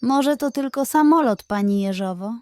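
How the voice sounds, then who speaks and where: A woman puts on an unruffled pig detective from a children's storybook. He speaks in a high voice, indulgent and reassuring. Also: animated, moderate pace, no room sound, natural voice. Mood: skeptical.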